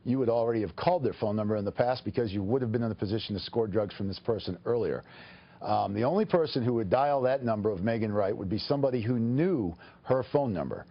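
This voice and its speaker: A man with a fairly low voice gives formal, measured explanations as a lawyer on a TV news interview. He speaks haltingly, and the sound is slightly dull. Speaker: attorney, interview guest.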